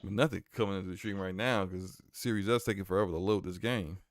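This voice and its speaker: Deep-voiced man streaming games. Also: fast talker, no room sound. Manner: flustered, talks himself through tech trouble. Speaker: game streamer. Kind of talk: casual live-stream chat while setting up a game.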